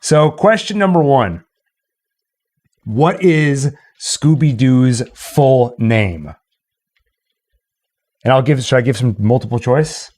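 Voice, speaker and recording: A man's voice: mid-range voice, lively intonation, slow, long pauses, a little mellow. A man hosting a casual livestream quiz, playful and thinking aloud as he goes. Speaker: host and trivia quizmaster.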